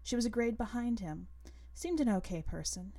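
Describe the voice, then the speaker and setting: Mellow woman reading a short story. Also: a little high, lively intonation, moderate pace. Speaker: podcast host and story reader. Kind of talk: literary story narration.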